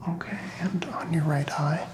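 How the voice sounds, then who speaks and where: A man speaking in a soft, breathy whisper, playing a doctor in an ASMR medical exam, gentle, halting and reassuring. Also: a little high, background noise. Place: small doctor's office.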